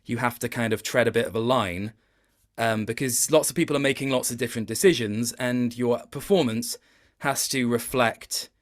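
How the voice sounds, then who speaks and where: A man's voice: mid-range voice, normal speed. A man speaking as a voice actor in a casual interview, thoughtful and self-deprecating, often feeling his way to the point.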